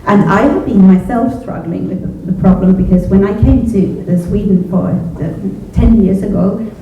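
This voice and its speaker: A middle-aged native Finnish woman with a Finnish accent, answering on a panel in a halting, thoughtful way and often repeating words. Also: medium pitch. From Finland. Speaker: panelist, journalism educator. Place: echoey indoor event room with a panel. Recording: echoey room from far off, phone-like, faint noise.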